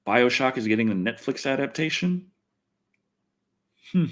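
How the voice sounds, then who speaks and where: American male livestream host, very lively pitch swings, rambling, self-deprecating, casual swearing. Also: a little slow. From Texas, United States. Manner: self-deprecating, swears freely, thinks aloud. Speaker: livestream host and video reviewer. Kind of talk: casual rambling livestream chat answering viewers.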